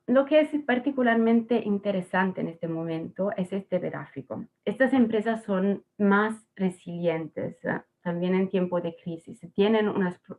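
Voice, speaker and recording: A woman hosts an online talk, explaining her points earnestly and stumbling into fillers and restarts. Her voice sits at an ordinary pitch and comes through tinny, phone-like call audio. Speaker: webinar host from a responsible-ownership organization. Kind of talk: presentation to an online audience.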